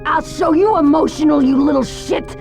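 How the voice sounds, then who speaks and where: Old mob ma in a game, super shrill n raspy creak, nasty threats, out for revenge. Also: female voice, normal speed, music in the background. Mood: enraged. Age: older. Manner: screeching, threatening. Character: vicious, foul-mouthed old crime family matriarch, grieving and vengeful. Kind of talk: video game cutscene acting.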